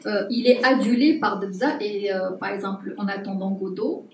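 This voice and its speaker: A woman anthropologist speaking at a public talk, pausing often with fillers and restarts. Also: medium pitch, steady tone, darkish tone, a little hiss or hum. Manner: hesitant, many fillers and restarts. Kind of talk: unscripted talk to an audience. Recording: roomy distant mic with faint ambience.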